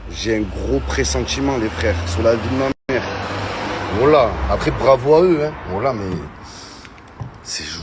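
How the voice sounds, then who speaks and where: A man doing a casual comic rant, mocking and animated, now and then breaking into shouts. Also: medium pitch, slight background noise. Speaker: comedian.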